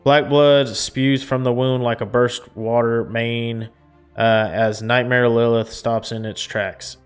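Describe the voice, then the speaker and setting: A man's voice: medium pitch, little pitch movement, slow pace, clean tone, faint music behind. A man reading a visual novel aloud as a game streamer, mixing narration with casual reactions.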